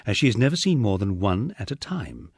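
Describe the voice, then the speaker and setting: A man's voice: on the low side, big pitch swings, a little fast, husky, no echo, clean studio sound. A man narrating a first-person memoir audiobook in a wry storytelling manner.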